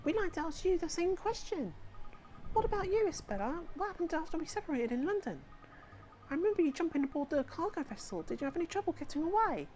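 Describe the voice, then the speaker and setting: An eager, polite young boy from a video game, acted by a woman. The voice is high and mellow, anxious and deferential. There is faint noise behind it. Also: big pitch swings, fast talker. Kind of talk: fan voice-over of a video game.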